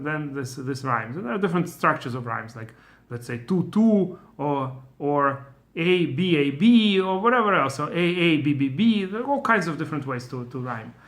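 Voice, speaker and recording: A man's voice: medium pitch, sharp tone. A middle-aged man with a Russian accent, a Torah teacher giving an informal lesson, thinking aloud with many fillers and restarts.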